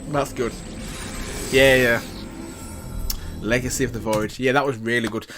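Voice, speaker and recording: A man's voice: mid-range voice, fairly even intonation, unhurried, over music. A man giving casual, enthusiastic reaction commentary as a host, often repeating himself for emphasis. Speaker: host of a reaction and review channel.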